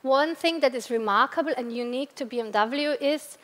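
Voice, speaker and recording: A woman's voice: high voice, a little fast, a bit bright. A woman from Austria with an Austrian accent giving a product presentation at a press launch, explaining step by step with occasional hesitations and restarts. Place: large event hall at a press launch. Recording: long reverb of a large hall.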